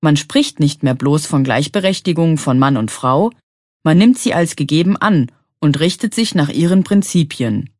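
An actress playing a polite radio show moderator, speaking in a formal, scripted way. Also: female voice, deep voice, expressive, normal speed, darkish tone, dry recording, clean studio sound. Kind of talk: scripted exam dialogue.

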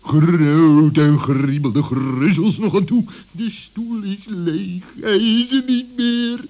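A man voicing an excitable, gullible villain of a fox in a children's radio play, in a theatrical, exclaiming manner. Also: high voice, slow pace, dull audio. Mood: alarmed.

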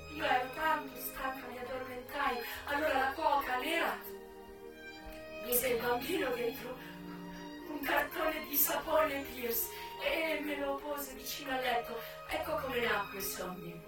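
A woman's voice: high-pitched, a little slow. An actress performing a dramatic stage monologue as a young American former prostitute and single mother, raw, defiant and emotional. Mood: shaken, wondering. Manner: theatrical, emotional, swinging from hushed to wailing. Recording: distant, very echoey stage recording with music. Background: music.

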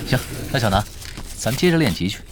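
A man's voice: medium pitch, very animated, fast, noisy background, lightly acted. An actor playing a laid-back, teasing young male gamer in a Chinese audio drama, with a casual, drawling delivery. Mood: playful.

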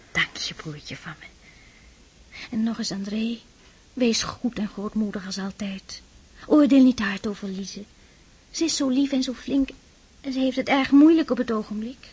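An actress playing a shy, devout aristocratic sister in a Dutch radio drama, speaking earnestly and tenderly, with pleading admonishment. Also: female voice, mid-range voice, darkish tone, slightly rough. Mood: solemn, tender.

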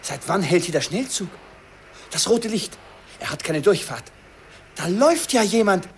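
A man's voice: high-pitched, big pitch swings, normal speed. Faint noise sits behind a young student in an old radio romance. He is earnest, a little anxious and very much in love. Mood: astonished. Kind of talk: old radio play acting. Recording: slightly noisy old radio recording.

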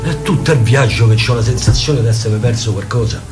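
An actor playing a confused, gruff old man with a Roman accent in a radio play. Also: medium pitch, fairly quick, lightly acted. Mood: dawning realisation. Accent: Roman Italian. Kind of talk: theatrical radio drama acting. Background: music.

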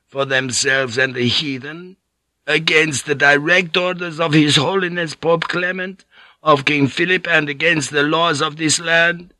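A man's voice: fairly high pitch, fairly even intonation, measured pace, sharp tone, no room sound, voice pushed up. A man doing a ranting old friar. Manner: agitated, breathless, ranting. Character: ranting feverish old Spanish friar, bitter.

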